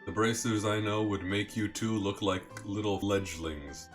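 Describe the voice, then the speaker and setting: Condescending, good-natured veteran reporter, acted by a man; low pitch, gruff game-dialogue read over faint music. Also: steady tone, a little slow, close to an everyday voice. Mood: stern, approving. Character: gruff, condescending but friendly adult male reporter. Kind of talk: amateur voiced game playthrough. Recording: music under the voice.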